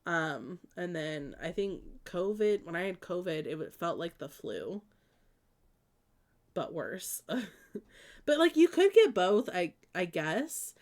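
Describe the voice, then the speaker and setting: A young woman with a congested, sniffly voice chats on a live stream. She rambles with plenty of ums and likes, in an unremarkable middle pitch, speaking from a home setup at a desk mic. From American Midwest. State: stuffed up with allergies. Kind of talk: casual live-stream chat answering viewers.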